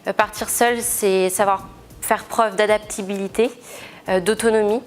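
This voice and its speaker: A woman's voice: medium pitch, steady tone, moderate pace, faint music behind. Young Frenchwoman giving a testimonial. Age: young adult. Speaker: student back from internship abroad. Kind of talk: interview testimonial.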